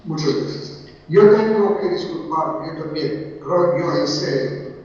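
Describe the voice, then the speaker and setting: A man from Italy with an Italian accent, giving an academic talk in careful, halting non-native speech. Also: fairly high pitch, expressive, darkish tone. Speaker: conference speaker. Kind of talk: academic talk over video link. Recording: echoey distant lo-fi video call.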